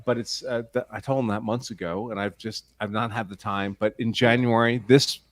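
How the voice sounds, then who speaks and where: A man speaking as a trial commentator on a casual live panel, carefully explaining his opinions with animated emphasis when making a point. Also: lowish voice, normal speed, crowd noise.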